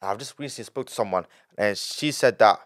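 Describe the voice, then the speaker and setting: A nineteen-year-old man, a podcast guest telling his story in an animated way, full of repetition and street slang. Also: lowish voice, a little fast. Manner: animated, repeats himself, heavy street slang. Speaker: young entrepreneur guest.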